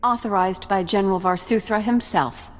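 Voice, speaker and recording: An actress playing an earnest research facility survivor in a video game, explaining things with concern. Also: female voice, medium pitch, moderate pace, close to an everyday voice. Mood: relieved, earnest. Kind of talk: video game cutscene acting. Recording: long hall reverb, music behind.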